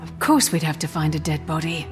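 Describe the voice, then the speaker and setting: Low-voiced, serious adventurer heroine. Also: female voice, expressive, a little fast, long reverb tail. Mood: wary. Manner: sober, terse, inquisitive. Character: serious, no-nonsense female adventurer hero, sober and impatient. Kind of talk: scripted video game dialogue. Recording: game music behind. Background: game music.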